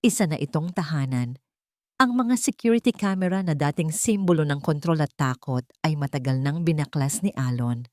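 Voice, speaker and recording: Woman reading a dramatic story audiobook, low voice, measured, super dry sound. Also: animated, darkish tone, no echo. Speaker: audiobook narrator. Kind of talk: dramatic scripted story narration.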